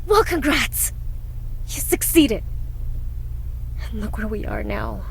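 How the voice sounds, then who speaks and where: Slow-spoken, skeptical girl. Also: fairly high pitch, lively intonation, vocal fry, a little hiss or hum, full character voice, low-quality recording. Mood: exasperated. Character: skeptical, exasperated, sarcastic girl stuck at a convent. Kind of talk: visual novel voice acting.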